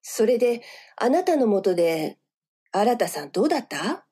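A woman's voice: on the high side, big pitch swings, normal speed, warm tone, slightly rough. An actress playing a cool, teasing, self-assured senior businesswoman in a voice drama. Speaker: voice actor. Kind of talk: voice drama dialogue. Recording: music behind the voice.

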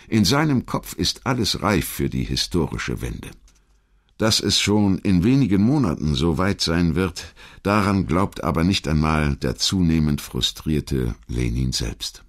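Ein Mann, der als Erzähler einen vorbereiteten historischen Text vorträgt. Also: male voice, extremely low pitch, sing-song, a little slow. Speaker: documentary narrator. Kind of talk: scripted historical narration.

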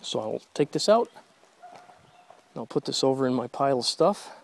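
A man with a gravelly, mid-pitched voice narrates offhandedly as he works on an electric fence inside a horse barn. He mutters to himself and his horses between steps of the job. The sound comes from a phone camera held close in the stall. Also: animated, moderate pace. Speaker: video creator demonstrating fence work. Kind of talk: casual running commentary while working. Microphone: phone camera held close, first-person view.

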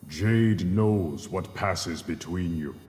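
Deep, stern warrior king. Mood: stern, dignified. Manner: formal, measured. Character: noble, stern, regal male warrior king. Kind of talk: fighting game character dialogue. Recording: long reverb, clear background noise.

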